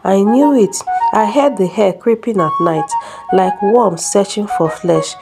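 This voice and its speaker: A woman's voice: mid-range voice, measured pace, vocal fry. Solemn old seer woman, voiced by a storyteller over music. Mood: stern warning. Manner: solemn, admonishing, incantatory. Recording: prominent music under the voice.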